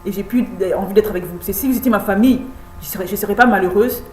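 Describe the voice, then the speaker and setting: A woman in her thirties recording a personal video message, halting and repeating words, insistent and pleading. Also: mid-range voice, a little mellow. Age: 30s. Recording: echoey room with faint hum.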